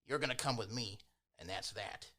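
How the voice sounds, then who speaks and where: A man's voice: on the low side, normal speed, pushed and bright. A man voicing a burly old town enforcer in a tabletop game, a condescending bully who relishes his bit of power. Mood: menacing. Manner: officious, condescending.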